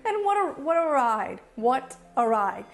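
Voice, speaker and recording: A woman's voice: high-pitched, sing-song, a little slow. A woman reviewing casually and off the cuff as a video host, enthusiastic and emphatic, often repeating phrases to drive them home. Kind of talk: casual unscripted review to camera.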